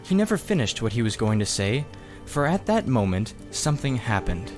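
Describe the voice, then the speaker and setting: A male audiobook reader narrates a children's story. His mid-pitched voice is animated and expressive. Soft music plays in the background. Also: normal speed.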